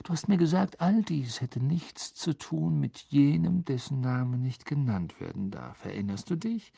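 A man's voice: mid-range voice, animated, unhurried, vocal fry, mellow, slightly rough, no echo, clean studio sound, full character voice. A man voicing an earnest, eager schoolboy hero in a storybook. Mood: curious.

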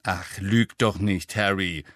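A male narrator voicing a brisk, sensible, bossy teenage schoolgirl with a lecturing manner in an audiobook. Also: mid-range voice, expressive, a bit bright, dry recording, studio quality, voice pushed up. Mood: impatient, sharp.

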